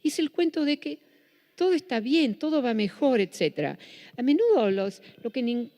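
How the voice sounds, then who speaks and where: A woman's voice: sits high, very animated, moderate pace, mellow. A Dutch-born woman with a Buenos Aires Spanish accent giving a public lecture, restarting and correcting herself often. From Netherlands. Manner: self-correcting, with frequent restarts. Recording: reverberant hall.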